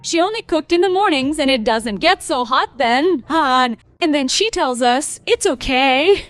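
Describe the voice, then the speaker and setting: A woman's voice: high voice, animated, unhurried, no echo. A woman playing a weary, aggrieved young daughter-in-law in a cartoon family story. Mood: resentful.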